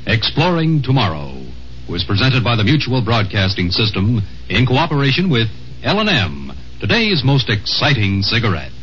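Animated American radio announcer, 1950s style. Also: male voice, mid-range voice, moderate pace, slight background noise. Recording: muffled old radio broadcast, faint music.

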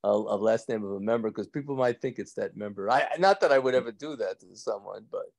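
Older rabbi with a thick New York accent, gravelly, chatty, on a home video call. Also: male voice, fairly low pitch, fast, a little mellow. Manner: rambling, joking, laughs often, many restarts. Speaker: rabbi teaching an evening class. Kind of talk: casual chat with class members. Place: indoors at home. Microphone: video call from a phone or webcam.

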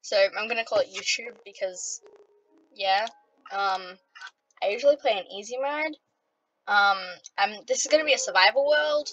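A woman with an Australian accent, chatting casually as she plays a computer game, with lots of ums and sudden excited outbursts. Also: a little high, normal speed, muffled recording, slight background noise. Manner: rambling, many ums, bursts of playful excitement. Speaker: gaming vlogger.

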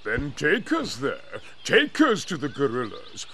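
A man's voice: mid-range voice, wildly swooping pitch, a little slow, bright, forceful tone, slight background noise, exaggerated voice. A man playing a scheming, pompous British jungle hunter in a video game, theatrical and smug. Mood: impatient, haughty. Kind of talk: video game cutscene acting.

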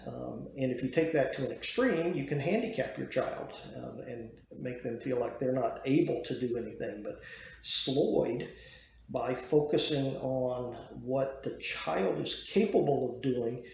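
A man in his sixties giving an unscripted woodworking lesson to a webcam in a dim small room, measured and full of "uh" fillers and restarts. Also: medium pitch, expressive, vocal fry, a little mellow, a little hiss or hum. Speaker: woodworking educator. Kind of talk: unscripted talk to camera. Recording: very echoey room.

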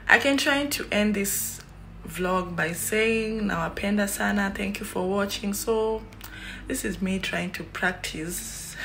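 A young Kenyan woman with a Kenyan accent, chatting casually to her phone camera in a small room, rambling with many fillers. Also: medium pitch. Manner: chatty, rambling, lots of fillers and animated hand gestures. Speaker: YouTuber. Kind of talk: casual vlog chat to camera. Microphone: phone at arm's length.

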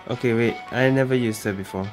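A man's voice: medium pitch, quick pace. A man giving casual gameplay commentary, muttering slangy, offhand reactions to himself. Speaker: video game streamer. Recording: game music behind the voice.

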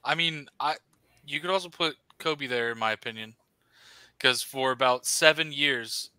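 A man's voice: medium pitch, normal speed, pushed and bright, smooth, no room sound. A man in casual sports talk on a video call, telling a joking anecdote. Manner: storytelling, joking.

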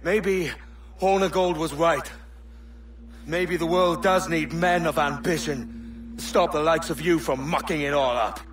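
An actor playing a tough, blunt pirate captain in a video game, exasperated and swearing. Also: male voice, fairly high pitch, unhurried, slight background noise, natural voice. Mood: bitter. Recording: music under the voice.

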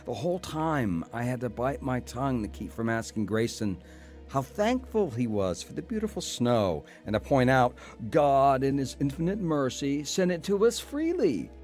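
A man's voice: mid-range voice, very animated, normal speed, darkish tone, soft background music, natural voice. A man with an American accent acting a proud, cynical, stubborn older brother in a first-person audio drama. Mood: regretful narration. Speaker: actor narrating and playing the lead.

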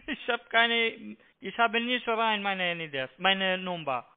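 Man, foreign accent, high voice, halting broken phrasing, call-centre instructions, thin telephone sound. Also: steady tone. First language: not German. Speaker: phone scam call-centre agent. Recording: tinny, band-limited phone line.

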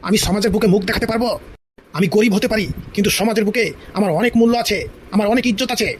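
Casting: domineering old cartoon father played by a man, extremely high voice, quick, indignant scolding. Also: steady tone, slight background noise, lightly acted. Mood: stern, scolding. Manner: scolding, indignant, stuttering repeats. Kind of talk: exaggerated comedy cartoon dialogue.

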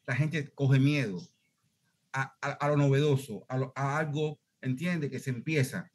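A man's voice: mid-range voice, a little slow. A middle-aged man with a Cuban accent, speaking on a headset from home in an online class Q&A, earnest and talkative, checking he is understood. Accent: Cuban Spanish. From Cuba. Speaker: student asking a question. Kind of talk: video call Q&A. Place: home room, indoors.

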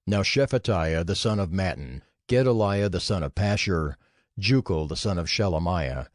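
A man's voice: sits low, no room sound, studio quality. A man narrating scripture as part of a dramatized audio reading.

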